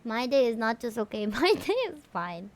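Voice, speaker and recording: Woman, Filipino accent, high voice, chatty, excitable, casual game livestream. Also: moderate pace. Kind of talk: casual game stream chat and reactions.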